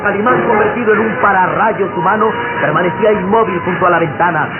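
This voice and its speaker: A man's voice: high-pitched, fast talker, over loud music. A man narrating an old radio adventure serial in a dramatic, declamatory manner. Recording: narrow, noisy vintage radio recording.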